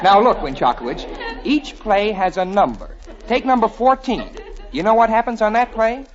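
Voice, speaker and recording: An actor playing an earnest, exasperated American football player tutoring a teammate in a vintage comedy. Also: male voice, high voice, expressive, moderate pace, a crowd in the background, background noise. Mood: patient. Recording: muffled old broadcast, studio audience.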